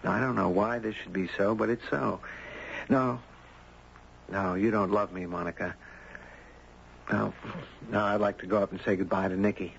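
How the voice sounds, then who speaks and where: An earnest, gentle man in a 1970s radio drama. His voice sits low with a gravelly creak, and he speaks in a measured, tender way. The recording is muffled like an old broadcast. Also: fairly even intonation, a little hiss or hum. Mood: solemn, firm. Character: Ben, gentle, earnest man, a writer, also narrating. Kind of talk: 1970s radio drama acting, intimate narration.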